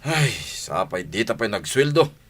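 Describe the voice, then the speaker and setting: Man acting a lively, grumbling lazy husband. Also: on the low side, unhurried, heavily acted. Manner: whining, complaining. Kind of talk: Ilocano comedy radio drama.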